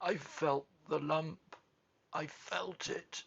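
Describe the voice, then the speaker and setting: A man's voice: on the high side, steady tone, very slow, gravelly, a bit bright, husky, lo-fi sound, voice pushed up, heavily acted. A man voicing a fearful, sickly young boy who gasps, sobs and chokes out his words in a storybook reading. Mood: hysterical, sobbing. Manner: gasping, sobbing, choked, stammering.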